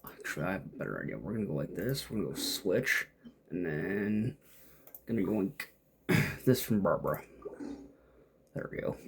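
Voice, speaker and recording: A man's voice: low voice, sing-song, moderate pace, creaky, long pauses, slightly rough. A man giving casual, off-the-cuff gameplay commentary, muttering and thinking aloud to himself as he plays. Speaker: gamer playing and commenting.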